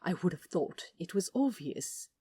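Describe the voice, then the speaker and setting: A woman voicing a gentle, fussy, fond male angel in a storybook reading, with a soft, breathy, prim delivery. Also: on the low side, big pitch swings, a little slow, dry recording, heavily acted. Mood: bashful.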